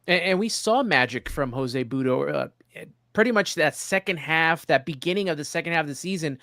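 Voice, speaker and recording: A man's voice: fairly high pitch, animated, brisk, bright, forceful tone, no room sound, studio quality. A man hosting a casual baseball discussion, giving his opinions in an earnest, conversational way. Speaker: sports show host. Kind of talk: casual sports talk.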